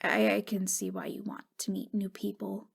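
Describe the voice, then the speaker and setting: A woman playing a wistful, wounded ghost girl in an intimate ASMR roleplay, speaking in a halting, fragile, stammering way. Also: mid-range voice, flat delivery, gravelly, slightly rough. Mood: jealous, defensive. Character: wistful, wounded ghost girl, long dead since wartime.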